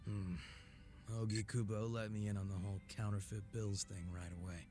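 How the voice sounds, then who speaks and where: An actor playing a cocky, laid-back former gang boss in a video game, teasing and sardonic with a languid drawl and casual swearing. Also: male voice, fairly low pitch, little pitch movement, moderate pace, music in the background, close to an everyday voice. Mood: jaded. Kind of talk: English video game voice acting.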